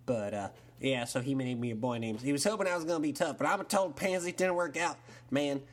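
A man's voice: medium pitch, fast, lightly acted. A man doing a rambling Texan caller. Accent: Texan, put on. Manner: rambling, full of fillers, drawling. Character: dim-witted Texan country man caller. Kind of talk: improvised call-in sketch.

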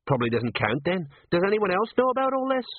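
A man voicing a slow-witted, kindly middle-aged male sergeant with a gossipy, wondering manner. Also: high voice, sing-song, no room sound, pitched above the performer's own voice. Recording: tinny narrow-band, dry.

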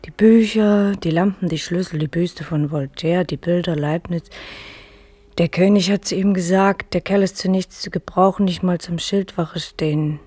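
A woman's voice: on the low side, normal speed, warm tone, husky. An actress playing a lonely, self-mocking female night guard in a German radio play, musing hesitantly and repeating her words. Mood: brooding, self-mocking.

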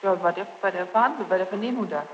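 Fast-talking young woman witness, echoey muffled tape. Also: medium pitch, a crowd in the background. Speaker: witness under questioning. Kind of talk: spontaneous answers under questioning. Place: large reverberant room. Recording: muffled echoing archival tape, some noise.

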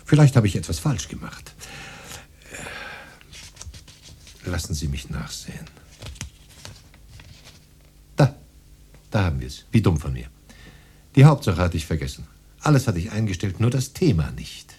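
An actor playing a modest, eager and fussy poet in a German radio drama, husky and musing, muttering to himself. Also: male voice, low-pitched, sing-song, a little fast, dark tone, slight background noise. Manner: musing, hesitant, muttering as he reads.

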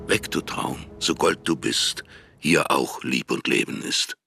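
A man's voice: rumbling low, a little slow, vocal fry, pushed and bright, husky, soft background music, exaggerated voice. An actor playing a grave, distant beloved man in a literary radio play, speaking in a solemn, poetic manner. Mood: solemn, tender.

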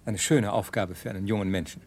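A man's voice: fairly low pitch, fairly even intonation, quick pace, darkish tone, a little hiss or hum, close to an everyday voice. Man acting a proclaiming, self-satisfied dystopian king. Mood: dogmatic. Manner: proclamatory, clipped slogans. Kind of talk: 1970s German science fiction radio drama acting.

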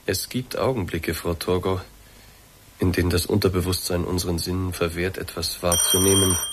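Radio drama casting: actor for a deep, soothing, formal investigator who quietly insists, faint noise behind. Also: male voice, fairly even intonation, normal speed, natural voice. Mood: calm, probing. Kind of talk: German radio play acting.

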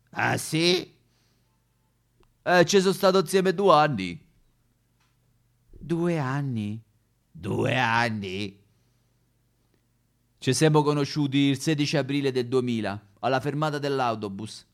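A man voicing a quarrelling married couple in a theatrical comic sketch, indignant and declamatory. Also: medium pitch. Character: quarrelling married couple, indignant wife and boastful husband Gualtiero.

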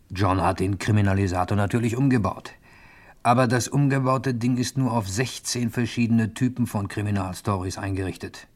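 A sly, chummy crook telling his own story in a 1950s German radio comedy. His voice is lowish and husky. He speaks in a wry, confidential, conversational way.

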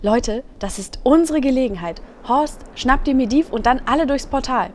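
Woman playing a lively, high-voiced adventurer. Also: moderate pace, slight background noise. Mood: urgent. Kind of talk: parody audio drama acting.

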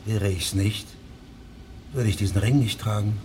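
An actor in a radio drama playing a stern judge who questions people with measured authority. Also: male voice, on the low side, steady tone, background noise, close to an everyday voice. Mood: coolly authoritative. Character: stern, probing traveling judge of fifteen years' standing.

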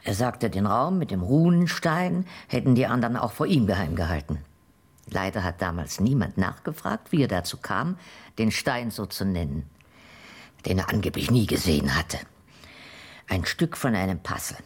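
An old woman in a radio play, her voice husky and swinging widely in pitch. She is shrewd and determined, recounting things in a deliberate, wry way. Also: sing-song, heavily acted. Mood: grave, recounting. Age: older. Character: shrewd, determined old woman, returned emigrant investigating betrayal. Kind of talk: radio drama acting.

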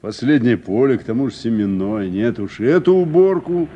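An actor playing a stubborn, blunt older harvester driver in a 1980s radio drama. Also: male voice, medium pitch, very animated, normal speed, warm tone, highs cut off, a little hiss or hum, natural voice. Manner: blunt, reproachful.

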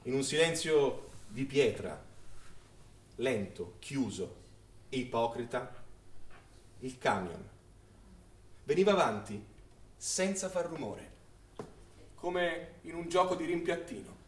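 A man's voice: mid-range voice, expressive, slight background noise. Solemn male witness in echoing space. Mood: grave, tense. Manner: solemn, declamatory, with deliberate pauses. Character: grave, anguished male witness-narrator of a wartime massacre. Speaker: stage actor narrating a play. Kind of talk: dramatic theatrical recitation of wartime testimony. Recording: very echoey, distant stage recording.

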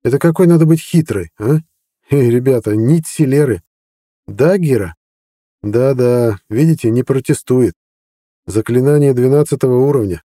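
A man's voice: mid-range voice, moderate pace, mellow, no room sound, studio quality, natural voice. A man reading an audiobook voicing a sly, learned prince who teases ironically and lectures. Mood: mocking.

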